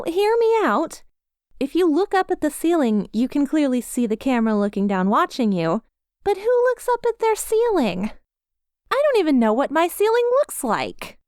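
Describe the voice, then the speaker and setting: A woman plays a hapless young yandere schoolgirl in an ASMR roleplay, ditzy and self-deprecating. Her voice is smooth and clear, recorded close and dry. She confides in the listener in a chatty, flustered, expressive way. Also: on the high side, no echo, lightly acted. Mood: defensive, dejected.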